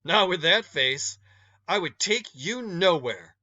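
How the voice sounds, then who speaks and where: A man voicing a stern but kind, motherly older woman in a storybook read-aloud, scolding in a folksy, emphatic, drawn-out way. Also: high voice, expressive, sharp tone, highs cut off, exaggerated voice.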